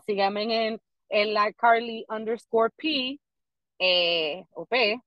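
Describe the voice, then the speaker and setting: A woman's voice: fairly high pitch, slow pace, no room sound, lo-fi sound. A young adult woman with a Puerto Rican accent, a comedian guest chatting casually in rambling Spanglish with lots of restarts. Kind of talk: casual podcast chat.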